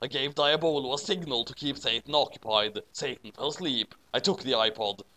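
A man's voice: mid-range voice, a little fast, sharp tone, slight background noise. A man narrating fan fiction in a theatrical, mock-serious reading. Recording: music behind the voice. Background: orchestral film music.

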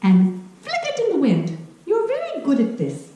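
Here is a woman acting a kindly, excitable Japanese grandmother in a live children's show. Her voice is high and soft-toned, and it swings widely as she addresses the audience with exclamations. The room echoes heavily. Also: normal speed. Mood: cheerful, instructive. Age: middle-aged. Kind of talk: theatrical children's storytelling. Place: living room at home. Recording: very echoey room, faint music.

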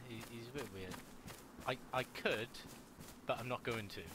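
A man's voice: medium pitch, moderate pace. A man from Wales with a Welsh accent, chatting casually while playing an online video game. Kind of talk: casual chat during online gameplay. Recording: clear background noise and game sounds.

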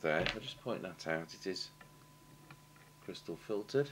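A British man with a low voice talks through a hands-on technical job. He is matter-of-fact and halting, thinking aloud as he goes. A faint noise and hum sit behind him. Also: lively intonation, a bit bright. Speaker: radio repair technician demonstrating. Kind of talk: unscripted technical demonstration commentary. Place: indoor workshop at a bench. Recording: clear background noise and hum.

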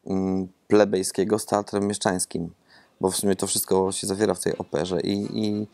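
Gravelly-voiced man musing with many pauses. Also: deep voice, level tone, mellow, no echo. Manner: rambling, thoughtful, many hesitations and repeated words. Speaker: theatre director. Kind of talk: interview answers. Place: empty theatre auditorium, seated among red seats.

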